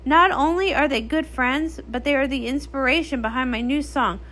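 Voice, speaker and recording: Woman doing a high, perky pony princess. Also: moderate pace, fairly bright tone. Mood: showy. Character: bubbly young pony pop princess, bossy, easily flustered. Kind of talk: comic read-along character acting.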